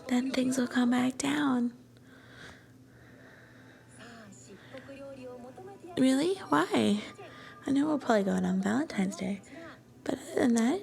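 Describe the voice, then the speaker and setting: A woman playing an affectionate, shy young student girlfriend in an intimate ASMR roleplay, soft-spoken and breathy, often slipping toward a whisper. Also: high voice, heavily acted. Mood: relaxed, gentle. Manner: tender, coaxing, bashfully hesitant.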